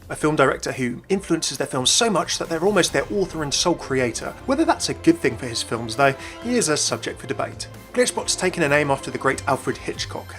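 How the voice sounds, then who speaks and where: Male gaming-video narrator, slightly high voice, scripted read over light music. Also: fairly bright tone. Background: background music.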